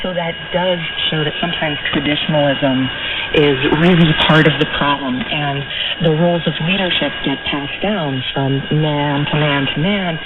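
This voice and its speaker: A woman's voice: sits low, normal speed, phone-quality sound. A woman speaking emphatically and explaining her views as a radio discussion guest, captured off shortwave radio. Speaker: interview guest on women in cycling. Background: heavy radio static and distortion.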